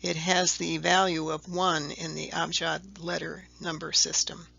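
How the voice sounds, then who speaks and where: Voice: medium pitch, flat delivery, a little slow, creaky, sharp tone, slightly rough, dull audio. A presenter giving a slide-by-slide voice-over explanation of a table, methodical and step by step, sometimes correcting themselves. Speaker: video presenter explaining a letter-number table.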